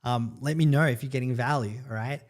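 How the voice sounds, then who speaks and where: An upbeat middle-aged man from El Salvador talks straight to his livestream viewers as a marketing coach. His voice sits at an ordinary middle pitch, and he keeps the chat loose with "right?" and "guys". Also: fairly quick. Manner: upbeat and chatty, peppering lines with "right?" and "guys". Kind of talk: casual livestream talk to viewers.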